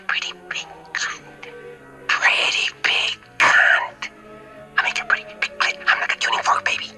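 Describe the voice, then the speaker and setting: A man's voice: extremely high pitch, vocal fry, pushed and bright, full character voice, rough recording. An actor playing a leering male phone pervert who whispers breathily. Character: male obscene phone caller, leering creep.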